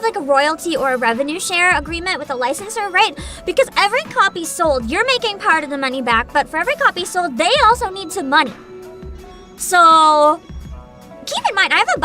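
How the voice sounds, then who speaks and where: A woman's voice: high-pitched, bright, forceful tone. A woman chatting casually as a livestream host, informal and rambling, with a giggly way of talking. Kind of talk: casual livestream chat, explaining and riffing to viewers.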